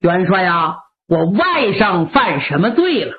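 Woman doing an imperious royal prince, commanding indignant tone, animated pitch, phone-like sound. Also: a little slow. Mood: calm. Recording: lo-fi narrow-band recording.

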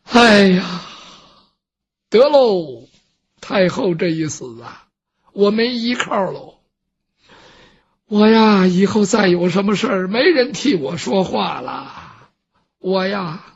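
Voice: animated, slow, long pauses, husky, dull audio, full character voice. 一位说书人扮演一个痛哭流涕的年老太监总管，声音高亢而嘶哑。. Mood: dejected. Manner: sobbing loudly, high strained wails. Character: elderly palace eunuch chief, wailing with grief. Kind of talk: traditional storytelling performance.

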